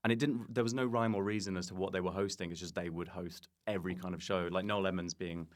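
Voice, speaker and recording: Deep-voiced British man chatting casually. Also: steady tone, fast. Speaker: comedian guest on a podcast. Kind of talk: casual comedic conversation.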